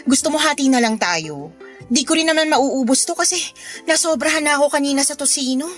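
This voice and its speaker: Actress as sassy, very high-voiced Filipina neighbour. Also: female voice, expressive, a little fast, a bit bright, faint music behind. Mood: pleased, playful. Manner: bantering, exclamatory, sarcastic. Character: sassy, distrustful but playful female neighbour, quick to joke. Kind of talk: Filipino radio drama acting.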